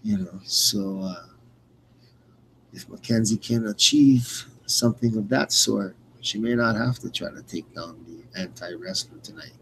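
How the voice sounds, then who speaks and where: A man hosting fight commentary in a casual, rambling, unscripted way, often halting and repeating words. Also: lowish voice, creaky, fairly bright tone, a little hiss or hum, low-quality recording. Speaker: radio play-by-play host.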